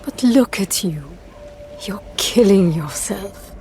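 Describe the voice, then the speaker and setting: A woman playing a controlling mother in a game cutscene, speaking slowly and deliberately with an eerie softness. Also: mid-range voice, expressive, soft background music. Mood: stern concern. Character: stern mother, eerily tender, coldly manipulative. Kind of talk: dramatic game cutscene acting.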